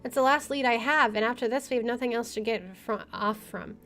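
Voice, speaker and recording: Higher-voiced woman playing a game. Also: brisk, a bit bright. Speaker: gameplay host. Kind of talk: reading game narration aloud with casual commentary.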